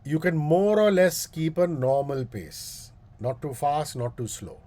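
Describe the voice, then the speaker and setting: A man with a booming voice and an Indian English accent, giving a public speaking workshop as a guest speaker. He talks slowly and deliberately, with long pauses and repeated demonstrations. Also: mellow.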